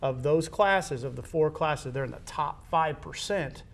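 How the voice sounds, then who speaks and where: A man's voice: a little slow, vocal fry. A man with an American accent hosting a conversational interview about school athletics as an administrator. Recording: background noise with low rumble.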